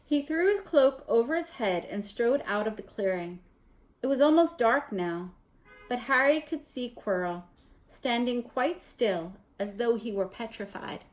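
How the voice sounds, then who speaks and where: A woman reading a children's novel aloud as narrator, in an expressive storytelling style. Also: fairly high pitch, unhurried, poor audio. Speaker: story reader.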